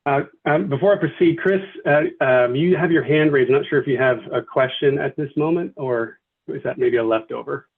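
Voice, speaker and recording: A man's voice: medium pitch, normal speed, creaky. A man facilitating a virtual committee meeting, thanking people and walking through the agenda with many fillers and restarts. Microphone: video call. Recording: tinny phone-like video call audio.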